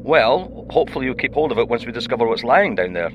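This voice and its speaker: A man's voice: mid-range voice, animated, quick pace. A man playing a teasing, dry crewman in a sci-fi audio drama, heard through a radio filter. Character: male space crewman, teasing, dry banterer. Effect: radio comms filter. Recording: muffled noisy radio link.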